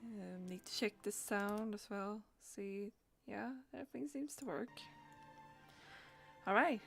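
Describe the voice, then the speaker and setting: A woman's voice: medium pitch, measured pace, vocal fry, fairly bright tone, faint music behind. A woman streamer chatting casually in a hesitant, rambling, giggly way. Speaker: live streamer. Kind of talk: casual livestream chat.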